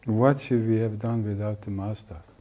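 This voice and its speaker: Calm man teaching in short phrases. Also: fairly low pitch. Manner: gentle, unhurried, speaking in short phrases for an interpreter. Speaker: spiritual teacher. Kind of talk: slow spoken teaching.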